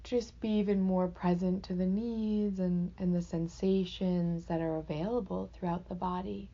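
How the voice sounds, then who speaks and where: A woman with a soft-edged, dark timbre and a slightly low pitch leads a guided meditation. She phrases things gently and leaves reflective pauses, as a teacher would. The sound is a little dull and lo-fi. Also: fairly even intonation.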